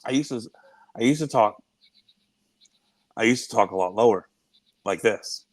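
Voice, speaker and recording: A middle-aged man with a high, nasal voice, co-hosting a casual podcast chat. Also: moderate pace, dry recording. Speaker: podcast co-host.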